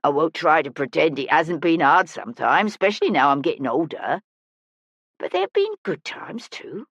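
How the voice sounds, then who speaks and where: A woman's voice: low voice, big pitch swings, moderate pace, no room sound, full character voice. A woman voicing a blind woman in her sixties, a folksy, feisty but kindly street musician in an audiobook. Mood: gentle, consoling. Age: 60s. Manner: folksy, plain-spoken, feisty.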